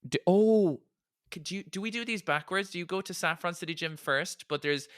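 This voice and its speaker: A man's voice: sits high, normal speed, a bit bright, dry recording, clean studio sound. A man co-hosting a podcast, bantering casually and jokily with plenty of swearing as he narrates a game playthrough. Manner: foul-mouthed, jokey. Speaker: podcast co-host.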